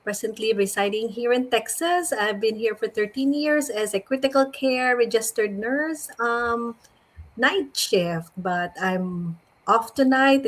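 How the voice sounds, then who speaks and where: A woman's voice: mid-range voice, low-quality recording. Brief: middle-aged Filipino woman, friendly and plain-spoken, medium voice. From Philippines. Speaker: panel guest, nurse. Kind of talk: live stream introduction.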